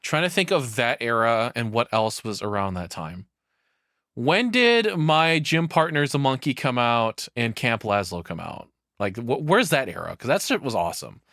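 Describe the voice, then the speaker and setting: A man giving casual, off-the-cuff reaction commentary as a streamer, blunt and sweary, halting as he thinks aloud. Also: mid-range voice, big pitch swings, bright, forceful tone, dry recording, clean studio sound.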